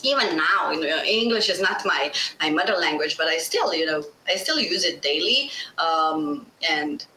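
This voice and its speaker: A middle-aged woman from Slovenia, whose first language is Bosnian, telling her story in an animated interview and laughing often. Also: deep voice, normal speed, few pauses, slight background noise. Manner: animated, laughing, acts out quoted voices. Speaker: guest. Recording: echoey room.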